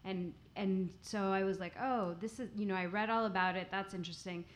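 A woman's voice: medium pitch, steady tone, a little fast. A woman interviewing a guest, hesitant and searching for her words. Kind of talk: live interview questions.

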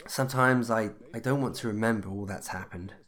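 A man's voice: lowish voice, brisk, a bit bright, lightly acted. A man acting an anxious, eager-to-please, social-climbing husband in a comedy audio drama, hesitant and stammering. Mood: downcast.

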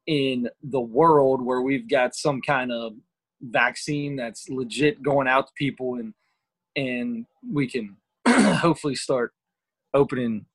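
A man's voice: medium pitch, breathy edge. A man co-hosting a casual sports podcast, upbeat and rambling, calling himself a positive thinker. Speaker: podcast co-host.

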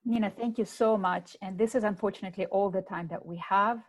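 A woman's voice: mid-range voice, fairly even intonation, no echo. A woman moderating an online panel, posing questions and passing the word politely to each guest. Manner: polite, brisk.

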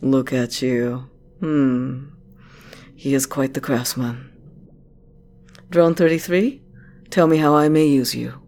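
A woman's voice: a bass voice, steady tone, takes it slowly, long pauses, a little mellow, slight background noise, heavily acted. A woman playing a commanding, curious corporate director in an audio drama, with a teasing, probing and self-assured manner. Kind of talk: scripted audio drama acting.

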